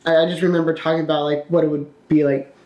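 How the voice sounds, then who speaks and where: A woman's voice: low-pitched, flat delivery, fast, lo-fi sound, a crowd in the background. A woman co-hosting a wrestling podcast in a casual, conversational style. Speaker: podcast co-host. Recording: roomy, echoing room sound.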